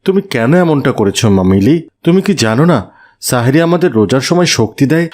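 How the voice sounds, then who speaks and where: A man's voice: medium pitch, a little mellow, natural voice. A man voicing a concerned, pious father in a cartoon, speaking earnestly. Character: concerned, pious father who gives gentle moral advice.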